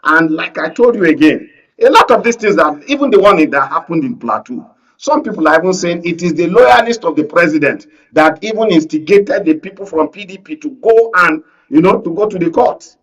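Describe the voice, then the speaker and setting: A man's voice: a little high, animated, fairly quick, fairly bright tone, recorded from a distance, rough recording. A Nigerian man in a political panel discussion, speaking insistently and repeating phrases to hold the floor. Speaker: panel guest, media analyst. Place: radio and TV studio.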